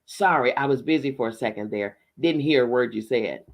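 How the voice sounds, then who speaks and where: A man reads the part of a middle-aged small-town husband from a storybook. His voice is creaky and mid-pitched, his manner casual, wry and a bit evasive. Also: fairly quick, lightly acted.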